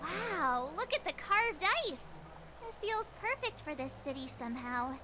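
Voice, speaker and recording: A woman's voice: sits high, unhurried. A bubbly young adventurer girl. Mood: curious. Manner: chatty, excitable and playful. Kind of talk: video game voice acting. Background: game music.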